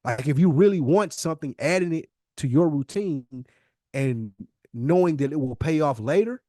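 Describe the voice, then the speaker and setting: A man's voice: fairly high pitch, animated, moderate pace, dark tone, dry recording. Emphatic American man, dry close sound. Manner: emphatic, repeats phrases for emphasis. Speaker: podcast co-host. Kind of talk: casual motivational podcast talk.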